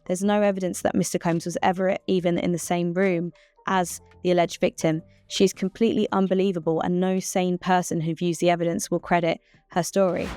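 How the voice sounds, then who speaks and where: Woman doing news recap, lowish voice, dry sound, faint music under it. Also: flat delivery, fairly quick, warm tone, clear, resonant tone, no room sound.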